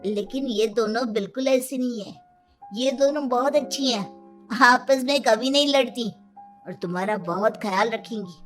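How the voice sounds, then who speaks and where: A woman's voice: a little high, moderate pace, music in the background, natural voice. A woman voicing a helpful, confident young man, the hero's friend, in a children's cartoon. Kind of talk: Hindi children's cartoon dubbing.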